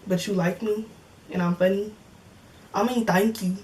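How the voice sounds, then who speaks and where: A woman's voice: mid-range voice, fairly even intonation, normal speed, a little mellow, far from the mic, rough recording. A woman of twenty in casual chat, answering viewer comments in a joking, slangy way and laughing often. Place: home filming setup with cameras and lights.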